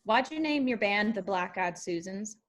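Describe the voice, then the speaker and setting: A woman reads the part of a young country drifter with an old soul. She is plainspoken and folksy, pressing gently in a medium-pitched voice, as in a drama read-through. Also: expressive, moderate pace, close to an everyday voice. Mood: thoughtful. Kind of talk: screenplay table read.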